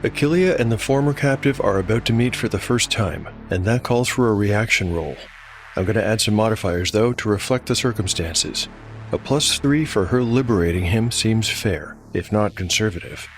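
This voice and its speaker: A man with a deep voice narrates as host and game master of a fantasy audio drama. His delivery is scripted storytelling, set over a clear music bed. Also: normal speed.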